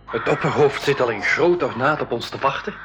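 An actor playing a cautious, sceptical brother on an expedition in a school radio drama. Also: male voice, mid-range voice, fairly quick, over music, natural voice, poor audio. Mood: matter-of-fact. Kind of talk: 1980s Dutch-language school radio drama acting.

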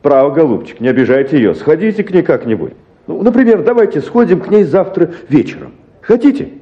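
An actor playing a genial, educated doctor, effusive and coaxing, in a radio play of a literary story. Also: male voice, on the high side, sing-song, brisk, dull audio. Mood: coaxing, enthusiastic.

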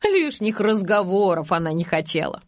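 A woman's voice: medium pitch, big pitch swings, moderate pace, dry recording, clean studio sound. Woman acting stern, sardonic, clipped village matriarch. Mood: cold, sardonic. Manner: sober, clipped, pointed questions.

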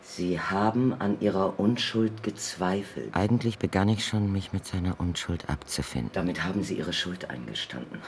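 Deep-voiced, clinical justice official. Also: male voice, moderate pace, few pauses, a little hiss or hum. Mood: cold, pressing. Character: cold, methodical male official running computer justice simulations. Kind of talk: German radio drama acting.

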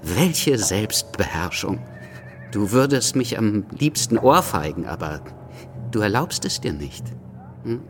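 An actor in a German radio drama playing a mocking, stammering young revolutionary journalist who talks in a hesitant, teasing way. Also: male voice, on the low side, sing-song, slow, soft background music. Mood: mocking, needling. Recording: faint background noise.

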